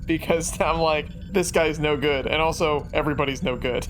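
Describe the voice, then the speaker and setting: A man's voice: fairly high pitch, fairly even intonation, normal speed, noisy background. A man chatting casually and jokily as a player in a tabletop roleplaying group. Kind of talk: casual, joking group chat.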